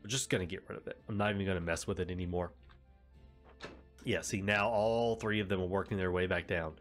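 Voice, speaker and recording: A man's voice: low voice, steady tone, fairly quick, soft background music. A man with a head cold and a nasal voice, giving casual commentary as he plays a city-building game, rambling and correcting himself as he explains. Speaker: let's play host.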